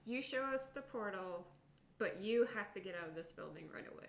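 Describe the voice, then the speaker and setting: A woman's voice: medium pitch, expressive, a little fast, gravelly. A woman trading casual banter as a player in a tabletop roleplaying game. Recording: echoey room, loud overlapping group voices.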